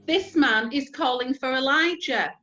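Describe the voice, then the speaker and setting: Casting: jeering onlooker played by a woman, high and deliberate delivery, scripture drama. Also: pushed and bright. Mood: curious, scoffing. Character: jeering bystander at a crucifixion. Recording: slightly muffled recording.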